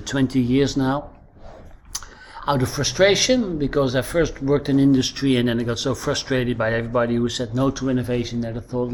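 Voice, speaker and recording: A man with a Dutch accent answering interview questions as an innovation trainer, earnest and practical, pausing often with ums. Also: mid-range voice, a little hiss or hum. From Netherlands.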